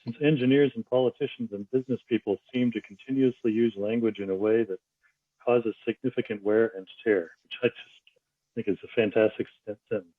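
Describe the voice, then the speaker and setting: A man's voice: lowish voice, fairly even intonation, brisk, no echo. A man giving a casual, thoughtful comment as an audience member at a book discussion. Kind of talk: casual, thoughtful comment with a read-aloud passage. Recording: thin phone-like call audio.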